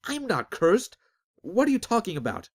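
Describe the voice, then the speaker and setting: Guy putting on a high loud desperate young thief, yelling in an audiobook. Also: huge pitch swings, moderate pace, exaggerated voice. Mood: indignant. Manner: shouting, desperate. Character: frantic young male street thief.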